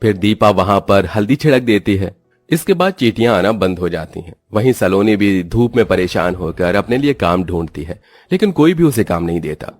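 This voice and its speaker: A man's voice: fairly low pitch, lively intonation, normal speed, darkish tone, no room sound. A man reading scripted narration for a children's cartoon story as its narrator.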